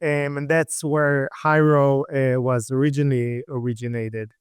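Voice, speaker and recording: Israeli-accented man, smooth voice. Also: medium pitch, measured pace, dry recording, clean studio sound. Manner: relaxed, fillers and restarts, laughs lightly. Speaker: guest, startup CEO. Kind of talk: casual interview talk.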